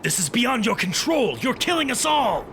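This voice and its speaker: A scheming captive monster hunter in a radio adventure. His high, creaky voice lunges wildly up and down with theatrical defiance, set over a dramatic score. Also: big pitch swings, moderate pace, slight background noise, heavily acted. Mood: desperate protest. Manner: theatrical, shouting protests. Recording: dramatic music behind.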